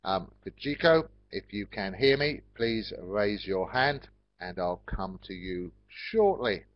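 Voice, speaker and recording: A man with an English accent teaching an online class in a measured, polite and encouraging way with simple wording. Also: lowish voice, sing-song, no room sound. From England. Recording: dull, lo-fi online call audio.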